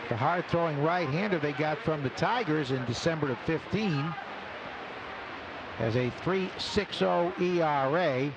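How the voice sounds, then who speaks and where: A man calling live baseball play-by-play on the radio in short, clipped phrases packed with stats between pitches. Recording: noisy live outdoor radio broadcast. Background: loud ballpark ambience.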